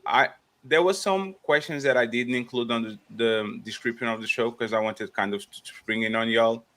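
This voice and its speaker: A man's voice: medium pitch, moderate pace, fairly bright tone. A man hosting a casual panel discussion, stumbling and repeating words as he presses the others with questions. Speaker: panel host.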